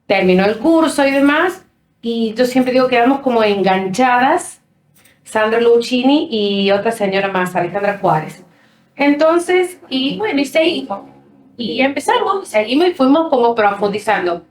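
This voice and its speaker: A woman's voice: on the high side, expressive, moderate pace, echoey room. A woman from San Pedro, Argentina, with an Argentine accent, explaining plainly how her book came about. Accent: Argentine Spanish. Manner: plain, matter-of-fact explaining. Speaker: guest, co-author.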